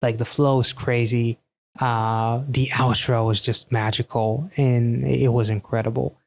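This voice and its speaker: An enthusiastic man hosts a casual reaction to a song. His voice sits at a middle pitch. He comments on lyrics in a loose, conversational way and swears freely. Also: flat delivery, a little slow, vocal fry, a little mellow. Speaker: music reaction host.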